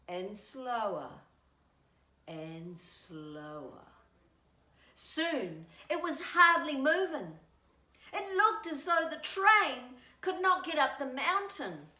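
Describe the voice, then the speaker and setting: A woman hosting storytime, speaking in an animated, singsong way to young children as she reads a picture book. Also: high-pitched, reverberant. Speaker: storytime host and children's author.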